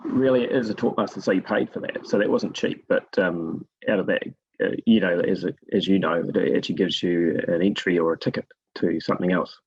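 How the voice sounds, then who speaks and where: Deep gravelly kiwi guy rambling thru a podcast interview, dry dull-sounding audio. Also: fairly quick, no echo. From New Zealand. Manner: rambling, many fillers and restarts. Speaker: guest, forest remote sensing specialist. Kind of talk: casual interview storytelling.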